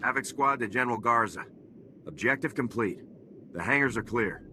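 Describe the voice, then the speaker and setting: A man's voice: medium pitch, expressive, moderate pace, bright, forceful tone, a little hiss or hum. A man voicing a principled, resolute military officer in a video game, with terse, firm delivery.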